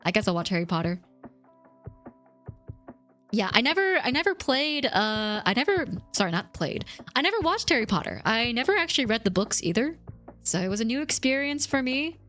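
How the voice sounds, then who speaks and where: A woman streamer chatting casually and thanking viewers, her voice slightly rough from a recent cough. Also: fairly high pitch, very animated, fairly quick, fairly bright tone, faint music behind. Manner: chatty, rambling, reads chat and thanks subscribers. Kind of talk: casual livestream chat.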